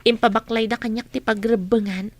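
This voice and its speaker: A woman's voice: on the high side, steady tone, a little fast, natural voice. A woman playing a sad, burdened young waitress with a polite manner in a radio drama. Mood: polite, downcast. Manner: sorrowful, polite.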